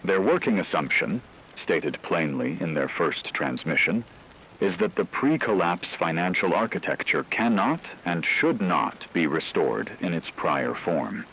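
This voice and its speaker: A man playing a grave radio news broadcaster, reading a scripted bulletin in a solemn, measured and formal way. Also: low-pitched, big pitch swings, fairly bright tone, slight background noise, full character voice, rough recording. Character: grave male radio broadcaster reporting societal collapse.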